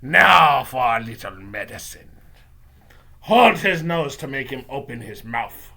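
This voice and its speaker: A man voicing a menacing, imperious female witch leader in a storybook, with commanding, drawn-out delivery. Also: medium pitch, very animated, vocal fry, bright, forceful tone, full character voice. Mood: gloating.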